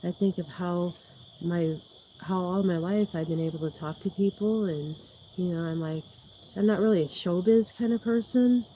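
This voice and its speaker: A woman's voice: lowish voice, moderate pace, dark tone, highs cut off, a little hiss or hum. A woman hosting a livestream in casual, rambling chat, with a soothing voice, laughing often and losing her train of thought. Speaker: YouTube livestream host.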